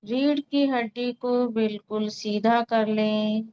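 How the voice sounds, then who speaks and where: A woman leading a guided meditation in a calm, soothing way, giving unhurried instructions with long pauses. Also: on the high side, clear, resonant tone, dull audio. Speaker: meditation guide and Reiki healer.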